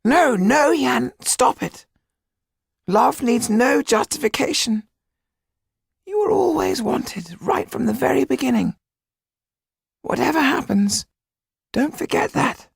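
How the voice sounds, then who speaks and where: Little old man, storybook character, heavily gravelly, slow, emphatic, affectionate. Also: expressive, no echo, studio quality, full character voice. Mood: earnest, tender. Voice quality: high, squeaky, creaky and husky. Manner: emphatic, fussing, exclamatory. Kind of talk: audiobook character acting.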